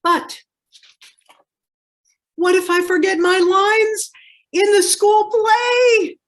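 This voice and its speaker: A woman's voice: extremely high pitch, drawn-out words, shouting, pitched above the performer's own voice, full character voice. A woman voicing an anxious young child who asks plaintive, worried questions in a picture-book read-aloud. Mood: distressed.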